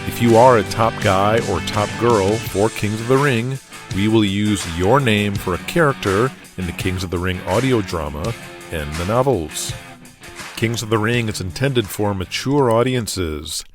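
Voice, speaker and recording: A man's voice: lowish voice, a little slow, over music. A man hosting an audio drama podcast, reading a scripted introduction with tongue-in-cheek asides.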